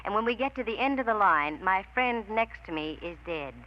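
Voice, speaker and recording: A woman's voice: medium pitch, animated, brisk, lightly acted. An American woman playing a wisecracking, hard-boiled female private eye in a vintage radio noir drama. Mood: wry. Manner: sardonic, slangy wisecracks. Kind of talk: 1940s-50s radio noir acting and narration. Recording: noisy, dull vintage radio recording.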